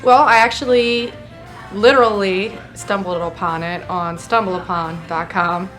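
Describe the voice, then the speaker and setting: A woman from New Jersey, a site supervisor, answering interview questions in a chatty, measured way. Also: medium pitch, fairly even intonation, fairly bright tone, soft background music.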